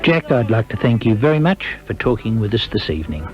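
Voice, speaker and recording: A man's voice: on the low side, sing-song, fairly quick, over loud music. A man hosts a radio interview, putting prepared questions to his guest. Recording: dull archival radio recording.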